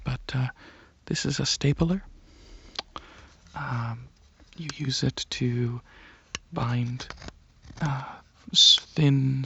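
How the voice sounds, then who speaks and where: A man voicing a friendly alien dragon in a soft-spoken ASMR roleplay, explaining things in a hesitant, halting, breathy way. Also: mid-range voice, full character voice. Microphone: close ASMR microphone. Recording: close, dry, muffled microphone.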